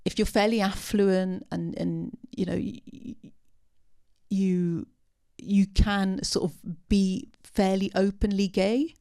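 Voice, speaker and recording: A woman speaking unscripted at a post-film discussion, answering audience questions with many pauses, ums and restarts. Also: medium pitch, flat delivery, gravelly, warm tone. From part Syrian.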